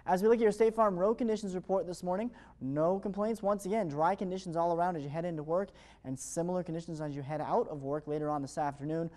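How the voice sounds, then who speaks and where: A man's voice: sits high, quick pace, darkish tone. An American man presenting a morning television weather forecast in an upbeat, fluent presenter's delivery. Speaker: television meteorologist.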